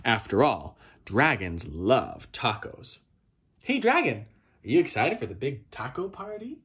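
A man's voice: mid-range voice, very animated, unhurried, a bit bright. A man in his thirties reading a children's picture book aloud in a theatrical, playful, exaggerated manner. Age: 30s. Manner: theatrical, exaggerated, playful delivery with swoops and dramatic gasps. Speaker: storybook reader. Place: small child's room indoors.

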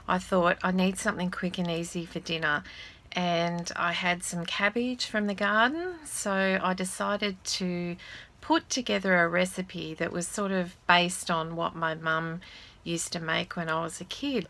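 Slow, homely Australian woman cooking. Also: fairly low pitch. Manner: relaxed, homely and unhurried, plain step-by-step instructions. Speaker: cooking channel host. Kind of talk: home cooking tutorial. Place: indoors at home.

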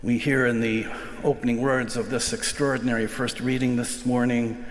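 Older American priest at a lectern, earnest and reflective homily, mid-pitched voice, roomy church reverb. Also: male voice, flat delivery. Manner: earnest, reflective, slows to stress key phrases. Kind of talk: sermon. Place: large church sanctuary, indoors. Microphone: lectern microphone. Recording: echoey church livestream with long reverb.

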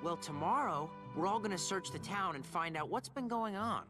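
An easygoing teenage sidekick chats earnestly in a game scene. The voice is high and bouncy, over clear music. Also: male voice, animated, moderate pace. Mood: friendly. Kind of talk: video game cutscene acting.

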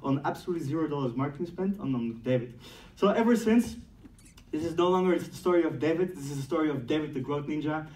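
A man in his thirties from Belgium with a Belgian French accent, giving a playful, energetic startup talk on stage and acting out dialogues. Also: on the high side, fast, a little hiss or hum. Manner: playful, acts out dialogues, laughs at own jokes. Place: large dark event room on a stage. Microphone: distant mic, speaker far from camera. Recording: roomy sound from a distant camera.

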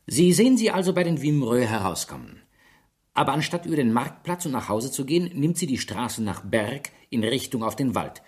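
An actor playing a sharp police inspector in a radio drama, pressing his questions methodically. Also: mid-range voice, sing-song, brisk, natural voice. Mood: methodical, sceptical. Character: persistent, sharp male police inspector interrogating suspects.

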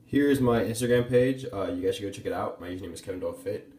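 A young man talks through his cooking in a relaxed, rambling way, full of likes and ums. His voice sits low. A small kitchen gives it an echoey ring off the walls. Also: fast talker, background noise. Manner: laid-back, filler-heavy talk with many likes and ums. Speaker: fitness vlogger. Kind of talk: casual vlog chat. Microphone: camera mic at arm's length.

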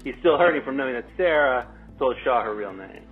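A man's voice: medium pitch, expressive, a little fast, faint music behind. A man co-hosting, reading out scripted introductions and narration. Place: remote video call. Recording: tinny, phone-like video call audio.

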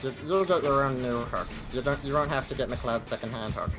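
A man putting on a squeaky voice to play a taunting killer in online gaming voice chat. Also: brisk, lightly acted, low-quality recording. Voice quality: squeaky put-on voice. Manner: taunting, gleeful threats. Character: squeaky-voiced menacing male killer, big, touchy. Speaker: player as killer.

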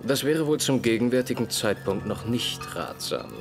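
An actor playing a cryptic, self-assured gentleman detective in an audio drama, deliberate and grave. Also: mid-range voice, sing-song, gravelly, over music.

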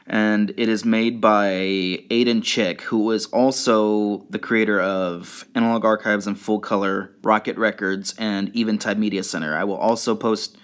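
A man talking casually and a little haltingly, presenting items in an informal show-and-tell vlog. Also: fairly low pitch, a bit bright. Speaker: video creator showing fan merchandise.

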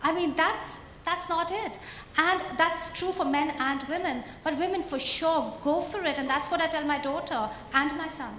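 A woman's voice: high-pitched, normal speed, a bit bright. A woman author on a conference panel, emphatic and motivational, speaking into a handheld mic. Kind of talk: panel discussion. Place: conference stage before an audience. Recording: echoey hall with faint noise.

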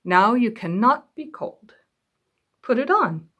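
A woman's voice: on the high side, big pitch swings, slow, a bit bright, heavily acted. Woman as a patient, lilting mother bear. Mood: encouraging.

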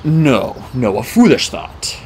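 A man voicing a wise, kindly middle-aged centaur teacher in an audiobook, speaking in a measured, formal, grave way. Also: medium pitch, big pitch swings, darkish tone, a little hiss or hum. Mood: somber. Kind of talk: audiobook character dialogue.

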